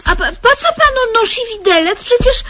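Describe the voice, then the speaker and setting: Squeaky, doting, stammering lady. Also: lively intonation, normal speed, bright, forceful tone, exaggerated voice. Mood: anxious, questioning. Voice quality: very high-pitched, plaintive. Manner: stammering, hesitant, repeating words. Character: doting, sighing, timid but fussy woman in love. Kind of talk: comedic radio play acting.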